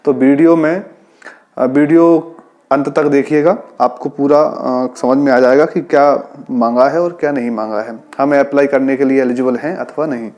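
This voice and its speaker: A man's voice: mid-range voice, fairly even intonation, moderate pace, darkish tone. A man gives an informal, instructive explainer to job aspirants, repeating key points for clarity. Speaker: police radio branch employee presenting an exam-guidance channel.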